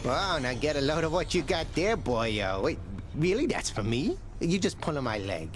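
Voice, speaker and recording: High-pitched cheeky skeleton. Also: male voice, sing-song, moderate pace, a little hiss or hum. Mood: amazed, delighted. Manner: brash, slangy, chummy wise-guy talk. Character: cheeky wisecracking animated skeleton sparring dummy, chummy pal. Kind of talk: video game character acting.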